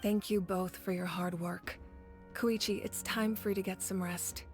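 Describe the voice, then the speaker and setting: A woman voicing a calm, dignified leader in a video game, speaking in a measured, formal, kindly manner. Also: medium pitch, soft background music, lightly acted. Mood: gracious.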